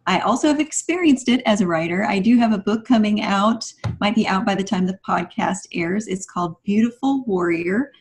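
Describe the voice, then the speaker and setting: A woman's voice: mid-range voice, normal speed, few pauses. A woman talking conversationally as a guest co-host on a podcast. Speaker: guest co-host, author and life coach.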